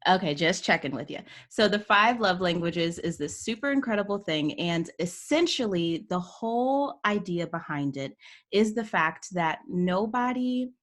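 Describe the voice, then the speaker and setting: A woman's voice: fairly low pitch, lively intonation, moderate pace, a bit bright. A woman coach on a video call, chatting enthusiastically with frequent laughs and lots of "like". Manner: enthusiastic, laughs often, says "like" a lot. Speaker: guest, workplace psychology coach. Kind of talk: casual video-call interview.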